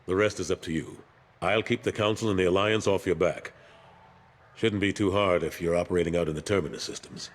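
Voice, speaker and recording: An actor playing an older, world-weary but steadfast military councilor in a video game. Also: male voice, low voice, fairly even intonation, a little fast. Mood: firm.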